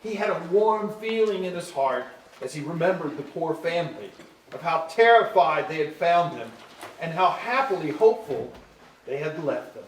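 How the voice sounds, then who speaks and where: A man's voice: fairly high pitch, expressive, moderate pace, slight background noise. A man reading scripted storybook narration for a staged musical. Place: indoor hall, live staged reading. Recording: roomy, distant live stage pickup.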